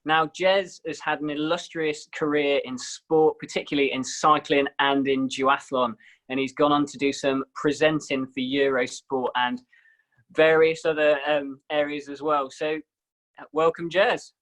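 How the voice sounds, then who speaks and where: A man's voice: fairly high pitch, steady tone, a little slow, fairly bright tone, poor audio. A man who is a head coach, hosting an interview and giving short, encouraging reactions. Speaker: head coach and podcast host. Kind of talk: casual interview.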